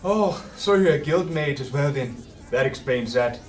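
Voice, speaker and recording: A man's voice: medium pitch, expressive, normal speed, far from the mic, close to an everyday voice. A man playing a jovial, folksy and eager-to-please innkeeper in a fantasy audio drama. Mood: puzzled. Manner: folksy, effusive, slightly flustered. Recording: roomy echo, off the mic.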